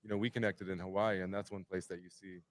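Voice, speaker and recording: A man's voice: low-pitched, flat delivery, fast talker, no room sound. A man hosting a live stream, upbeat and encouraging in casual talk.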